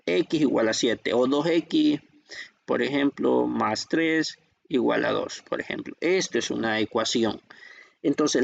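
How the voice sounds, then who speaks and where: A man's voice: mid-range voice, vocal fry, no echo, lo-fi sound. A man with a Salvadoran Spanish accent, teaching a math lesson from a textbook, patient and checking in with the class often.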